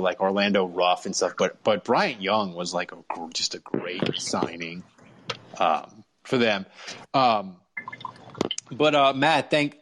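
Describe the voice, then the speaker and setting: A man's voice: on the low side, fairly bright tone. A man hosting a casual sports fan chat, often repeating phrases as he gets going. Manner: stammering, repeats phrases as he starts. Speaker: sports talk show host.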